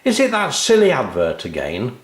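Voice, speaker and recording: Guy doing a fed up mum for a kids story, pleading n scolding, lively pitch, roomy sound. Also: moderate pace. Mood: calling out, annoyed. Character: weary, exasperated mum of a noisy girl. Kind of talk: children's storybook character voice.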